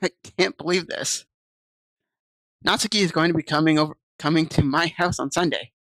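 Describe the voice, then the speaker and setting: A woman's voice: low-pitched, fairly quick, a bit bright, no echo. A woman streaming, reading visual novel text aloud and chatting casually with viewers on a desk mic. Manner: chatty, reads game text aloud and answers chat.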